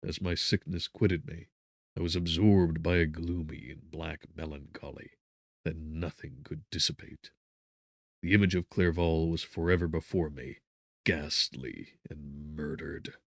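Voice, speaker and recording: A man's voice: rumbling low, fairly even intonation, a little slow, creaky, dry recording, clean studio sound. A man narrating a gothic audiobook in a solemn, theatrical manner. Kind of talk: dramatic scripted narration of gothic novel.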